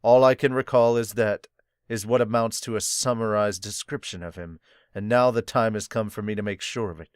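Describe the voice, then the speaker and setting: A brooding demon king of untold age, read by a man. He speaks slowly and gravely, in a low, somber voice. The sound is dry and close. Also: steady tone, no echo, lightly acted. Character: ancient grim male demon king ruler.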